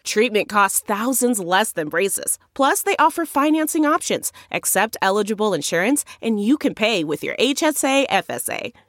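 A woman's voice: medium pitch, sing-song, normal speed, hardly any pauses, a bit bright, no echo, studio quality. A woman delivering scripted promotional narration as an advertisement announcer.

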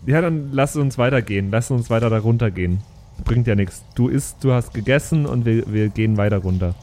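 A man's voice: mid-range voice, fairly quick, dark tone, a little hiss or hum. A man chatting casually as a player in an improvised tabletop role-playing game.

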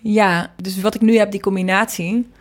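A woman's voice: medium pitch, fairly even intonation, normal speed. A woman chatting casually in an interview, with an informal, rambling way of talking. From Netherlands. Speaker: singer and producer being interviewed.

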